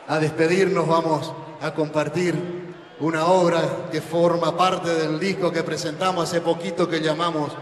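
Slow, impassioned man reciting on stage. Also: fairly high pitch, slight background noise. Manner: impassioned, declamatory, slow and deliberate. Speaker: stage performer and bandleader. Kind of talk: dramatic poetry recitation and stage announcements. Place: large outdoor festival stage. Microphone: handheld mic. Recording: live concert sound, reverberant, loud music behind.

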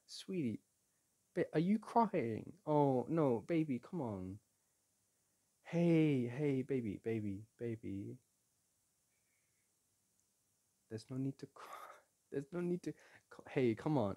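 A man playing a playful boyfriend in an intimate audio roleplay, chatting casually, teasing and coaxing the listener in a gentle, halting way. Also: mid-range voice, expressive. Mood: soothing. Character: playful, teasing, soothing boyfriend at a board game.

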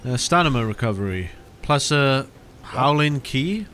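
A man's voice: medium pitch, lively intonation, a little slow, fairly bright tone, slight background noise. A man hosting a co-op video game session, chatting casually with the other players. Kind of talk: casual gaming chat.

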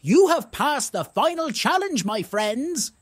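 A storyteller voicing a gentle giant male hedgehog in a children's storybook, earnest and kind. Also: high-pitched, big pitch swings, slow, slightly rough, dry recording, clean studio sound, pitched above the performer's own voice, heavily acted. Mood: kindly.

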